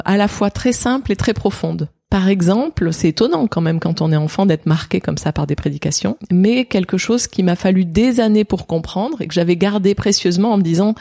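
Creaky, mellow-voiced woman pastor in her 50s. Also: mid-range voice. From France. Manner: reflective, hesitant storytelling with many fillers. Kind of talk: interview conversation. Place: indoors, office.

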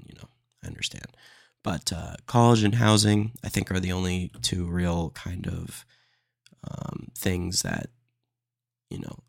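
A man's voice: deep voice, gravelly. A young man hosting a casual comedy podcast, a bit nasal from allergies, blunt and opinionated and full of "dude". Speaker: podcast host.

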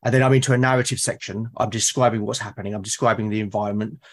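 A man's voice: on the low side, fairly even intonation, very fast. A man in a conversational interview as a podcast guest, explaining his creative process and often repeating words as he thinks aloud. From Britain.

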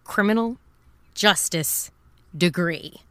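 A woman's voice: fairly low pitch, slow pace, dry recording. A woman playing a wry, impulsive and jaded amateur private eye in a noir audio drama, with a sardonic, confiding and self-deprecating manner. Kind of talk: noir first-person audio drama narration and dialogue.

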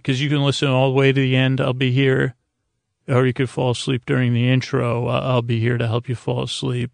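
A man with a creaky voice hosting a sleep podcast, talking in a meandering, halting, gently goofy and lighthearted way. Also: medium pitch, no echo, clean studio sound. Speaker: podcast host. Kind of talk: rambling, informal sleep podcast monologue.

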